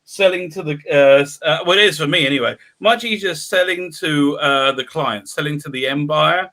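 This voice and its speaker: A man interviewing a guest in casual chat, halting with many restarts and laughing between points. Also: fairly high pitch, sharp tone.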